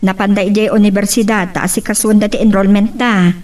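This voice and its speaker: A woman's voice: medium pitch, fairly even intonation, fairly quick, darkish tone, close to an everyday voice. A woman playing a caring, hardworking mother in an amateur Ilocano family drama. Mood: conversational. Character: caring hardworking mother seeking work abroad. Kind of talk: Ilocano radio-style family drama acting. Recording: distant mic, background noise.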